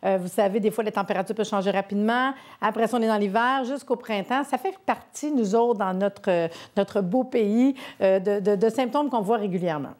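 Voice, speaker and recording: A woman's voice: mid-range voice, a little fast, hardly any pauses, darkish tone. A woman with a Québécois accent presenting a sponsored health segment, chatty and persuasive. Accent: Québécois French.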